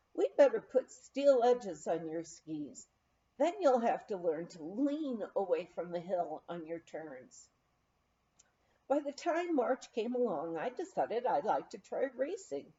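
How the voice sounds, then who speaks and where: Female memoir reader, lively swooping intonation, unhurried and soft-toned, slightly muffled sound. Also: medium pitch. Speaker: audiobook reader. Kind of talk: expressive memoir reading.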